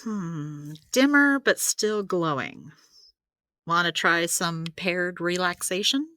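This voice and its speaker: An actress playing a practical, gently caring supernatural healer who explains things in a chatty, rambling way in an audio drama. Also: female voice, on the low side, slow pace, dry recording. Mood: concerned.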